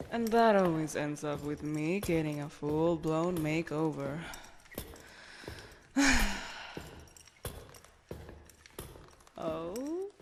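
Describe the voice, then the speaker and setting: A woman's voice: lowish voice, noisy background. A woman playing a loyal young knight commander in a roleplay audio drama, formal and courteous but easily flustered. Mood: muttering, resigned.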